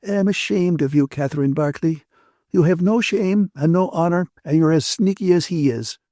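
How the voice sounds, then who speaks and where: Man voicing a bitter, scolding woman, pitched high. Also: moderate pace, mellow, no echo, clean studio sound, lightly acted. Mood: indignant, scornful. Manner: accusing, emphatic, aggrieved. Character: bitter, scolding, tearful woman, indignant and wounded.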